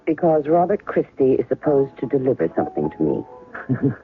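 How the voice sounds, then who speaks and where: A tinny 1970s radio drama recording carries a guarded, coolly skeptical ex-wife. Her delivery is measured and wry, with expressive swings in pitch and small hesitations. Faint music sits behind her. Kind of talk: 1970s radio drama acting with first-person narration.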